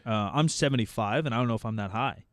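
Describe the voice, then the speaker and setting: A man's voice: medium pitch, fast, dry recording, clean studio sound. A man co-hosting a podcast, chatting casually in a blunt, swearing manner. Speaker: podcast co-host. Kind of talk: casual chat.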